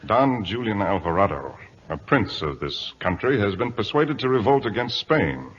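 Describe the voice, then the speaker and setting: An actor in an old-time radio drama playing a resolute British naval captain with a clipped, formal, commanding manner. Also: male voice, lowish voice, very animated, normal speed, a little hiss or hum. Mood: crisp command. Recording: dull vintage radio broadcast.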